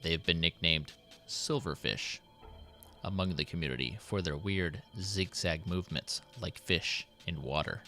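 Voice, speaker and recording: A low male voice put on by a narrator as a burdened city president in a story. He is formal and measured, dictating a solemn diary with dutiful worry, over soft background music. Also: heavily acted. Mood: burdened, hopeful. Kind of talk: dramatic in-character diary reading.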